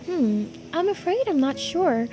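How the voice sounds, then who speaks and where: Casting: woman playing self-deprecating young bookworm in a game, high expressive voice, apologetic, helpful, music behind. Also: unhurried, rough recording. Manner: apologetic, eager to help. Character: earnest, self-deprecating, bookish young friend. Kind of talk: video game character voice-over.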